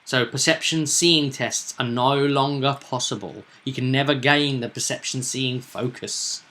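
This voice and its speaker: A man's voice: medium pitch, measured pace, sharp tone. A man running a tabletop roleplaying game, explaining things in a reflective, conversational way. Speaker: game master.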